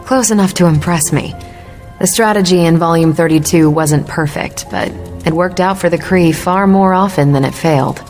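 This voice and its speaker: A woman's voice: fairly low pitch, moderate pace, darkish tone, faint music behind, close to an everyday voice. An actress playing a confident military superhero woman in a video game, explaining things in an assured, lightly teasing way. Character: confident adult superhero woman, sharp patient military mentor.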